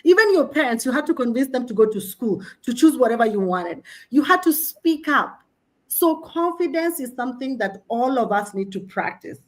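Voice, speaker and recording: A woman's voice: sits high, normal speed. A woman from Kenya with a Kenyan accent, a career coach speaking emphatically on a panel. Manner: emphatic, animated, preaching self-promotion with humor.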